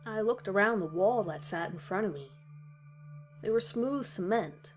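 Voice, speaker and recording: A woman's voice: mid-range voice, expressive, measured pace, soft background music. A woman reading a scripted first-person horror story as its narrator.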